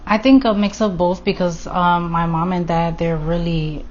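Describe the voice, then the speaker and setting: A woman gives relaxed, brief answers as an interview guest. Her voice sits on the low side. The recording is dull, with a faint hiss behind her. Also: moderate pace. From Philadelphia. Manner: relaxed, brief, laughs easily. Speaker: real estate agent, guest.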